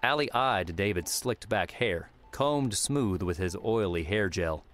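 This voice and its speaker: A man's voice: fairly low pitch, animated, a little slow, a bit bright, soft background music. A man reading scripted fiction as an audiobook narrator.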